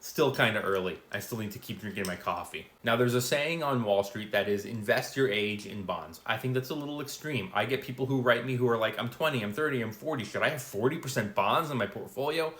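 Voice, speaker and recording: A man's voice: medium pitch, a little fast, few pauses, fairly bright tone. A man in his forties hosting a casual finance explainer, chatty with offhand asides. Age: 40s. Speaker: finance video host. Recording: roomy echo.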